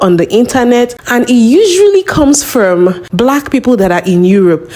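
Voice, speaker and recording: Low-voiced woman hosting a commentary vlog. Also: a little mellow. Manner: deliberate, addresses viewers directly. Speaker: channel host.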